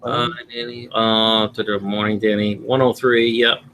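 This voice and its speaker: Male stream host, bright tone, rambling, filler-heavy chat reader. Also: fairly low pitch, flat delivery, unhurried, slight background noise, low-quality recording. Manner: rambling, reads comments aloud with fillers. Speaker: livestream host reading viewer chat. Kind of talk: casual live-stream chat. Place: home in Florida.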